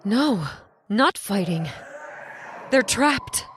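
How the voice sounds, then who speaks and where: A woman's voice: medium pitch, animated, drawn-out words. A woman acting a solemn, fatalistic lost soul. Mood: fearful. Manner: solemn, portentous. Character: fatalistic stranded woman, ominous bearer of dreadful truth. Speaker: actress in a horror radio play. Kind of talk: old-time radio horror acting.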